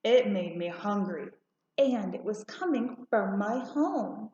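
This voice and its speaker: A woman narrating a children's storybook aloud in a deliberate, expressive way. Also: mid-range voice. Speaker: storybook reader. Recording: roomy, slightly muffled home recording.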